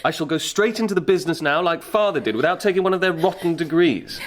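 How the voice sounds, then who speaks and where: A man's voice: fairly high pitch, lively intonation, fast, a little hiss or hum, natural voice. An actor playing a proud, stubborn young man in a radio drama, defiant and dismissive. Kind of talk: period radio drama acting.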